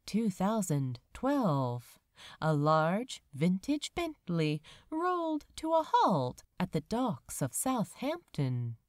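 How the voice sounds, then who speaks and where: A woman reading fan fiction aloud as a narrator, with measured, expressive storytelling delivery. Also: mid-range voice, dry recording, clean studio sound. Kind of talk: scripted audiobook-style narration.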